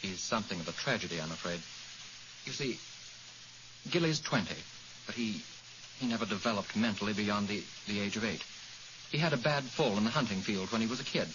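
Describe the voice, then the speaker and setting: A man acting a serious young gentleman with a sober, halting manner in a 1940s radio drama. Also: lowish voice, animated, lightly acted. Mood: regretful. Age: young adult. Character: Randolph, serious, resentful young gentleman in love. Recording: hissy, dull old radio recording.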